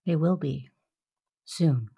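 Woman as protective sister, audio drama, very low creaky voice, earnest, tender, steadfast. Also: slow, warm tone, exaggerated voice. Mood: warm, teasing.

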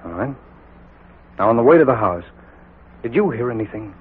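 An actor playing a brisk, reassuring FBI agent in a 1940s radio drama, questioning in a businesslike way. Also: male voice, medium pitch, expressive, slightly rough. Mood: inquiring. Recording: narrow-band 1940s radio recording.

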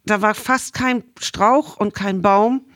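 A woman's voice: medium pitch, slow pace, a bit bright, no echo. Middle-aged woman reminiscing, dry close sound. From Ireland. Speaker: interview guest, marine scientist. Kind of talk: conversational storytelling, reminiscing.

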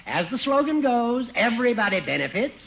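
A pompous older judge with a very high, swooping voice, teasing and orating. It sounds like a muffled vintage radio comedy. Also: male voice, sing-song, a little fast, gravelly, full character voice. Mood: needling, oratorical. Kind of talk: 1950s radio sitcom acting. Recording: dull 1950 radio recording.

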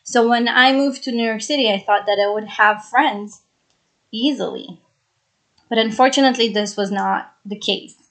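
A woman's voice: a little high, moderate pace, a bit bright. Chatty young woman podcast host. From Brazil, raised in Israel. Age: 20s. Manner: earnest, chatty, repeats phrases for emphasis. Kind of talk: solo podcast monologue.

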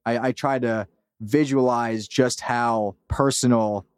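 A man talks casually as an interviewed podcast creator, at a medium pitch. His delivery is informal and rambling, and the sound is dry. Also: steady tone, slow pace, clear, resonant tone, no room sound.

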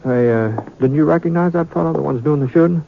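A man's voice: on the high side, fast talker, creaky, background noise. A man acting an easygoing American gunslinger in a 1950s radio western, speaking in a hesitant, folksy way and repeating himself. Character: easygoing western drifter gunslinger telling his tale. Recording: muffled 1950s radio recording, music.

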